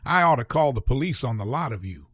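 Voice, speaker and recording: A man voicing a stern, authoritative older gentleman who lectures some boys, then softens. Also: medium pitch, lively intonation, fast, no echo, clean studio sound. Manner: firm, authoritative.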